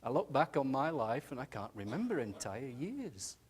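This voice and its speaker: A man voicing an elderly widowed nursing home resident who talks slowly, haltingly and tenderly, often saying "aye". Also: mid-range voice, sing-song, lightly acted. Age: older. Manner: slow, halting, chuckling, says "aye" often.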